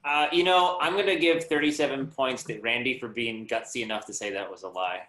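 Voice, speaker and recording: A man's voice: mid-range voice, fairly quick, sharp tone. A man bantering casually as a guest panelist, wry and wordy with a mock-earnest air. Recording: slightly echoey room.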